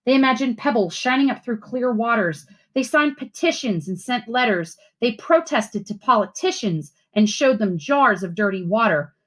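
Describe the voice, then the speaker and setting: A woman reading aloud as a teacher to schoolchildren, with expressive, deliberate phrasing. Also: medium pitch. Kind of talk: read-aloud storytelling and simple instructions for children.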